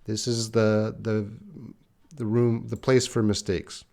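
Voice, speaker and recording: A man's voice: fairly low pitch, level tone, slow pace, vocal fry, a little mellow. A middle-aged man teaching a live online English class, answering learners' comments in a plain-spoken way and addressing them by name.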